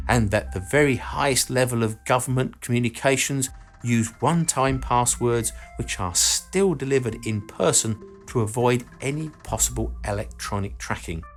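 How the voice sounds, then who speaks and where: A man reading a scripted explainer narration in a measured, explanatory way. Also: medium pitch. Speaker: documentary channel narrator and host. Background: background music.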